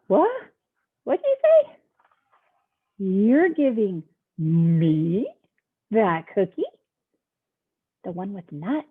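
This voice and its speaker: A woman voicing an excitable male storybook pigeon who keeps begging for things, with dramatic, drawn-out whines. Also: a little high, breathy edge, heavily acted. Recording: tinny phone-like sound.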